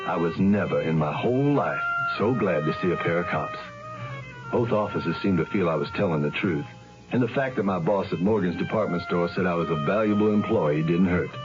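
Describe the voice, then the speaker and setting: A man playing a deep-voiced ex-con narrator. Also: close to an everyday voice. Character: young ex-convict man narrating his story. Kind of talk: 1970s radio drama acting. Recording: dull radio drama recording.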